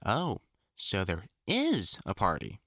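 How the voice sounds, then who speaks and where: A man's voice: on the low side, wildly swooping pitch, slow pace, a bit bright, dry recording, exaggerated voice. A man playing an older, experienced vampire hunter in an audio roleplay, talking informally and reassuringly like a mentor, with dry jokes and mild swearing. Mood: encouraging, teasing. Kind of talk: intimate one-sided audio roleplay, conversational acting.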